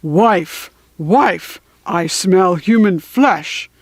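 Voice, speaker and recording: A woman voicing a cruel, man-eating male giant from a storybook, calling out in a drawn-out, demanding way. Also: fairly low pitch, lively intonation, dry recording, exaggerated voice. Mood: menacing. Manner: calling out loudly, drawn-out and menacing.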